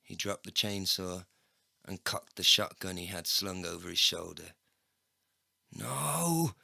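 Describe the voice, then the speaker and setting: Male narrator, low and gravelly with a hard bright edge, expressive comic storytelling. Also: normal speed, no echo, studio quality. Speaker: narrator and lead character. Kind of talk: scripted first-person comedy story narration.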